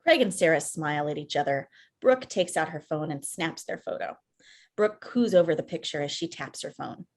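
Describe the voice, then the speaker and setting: A woman's voice: sits low, expressive, normal speed. A woman reading scripted screenplay stage directions as narrator on a video call. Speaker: filmmaker, stage-direction reader.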